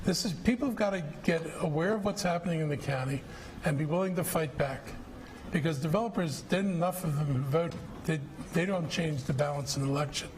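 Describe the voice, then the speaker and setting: A man's voice: a little high, brisk, a little mellow, a little hiss or hum, rough recording. A middle-aged man at a public budget forum, answering questions in a blunt, combative, plain-spoken way. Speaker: county executive answering questions.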